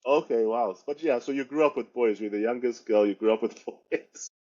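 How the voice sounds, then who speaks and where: A man's voice: medium pitch, fairly quick, dark tone. A man hosting a casual interview, asking short questions and laughing easily. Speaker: host. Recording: dull, muffled sound.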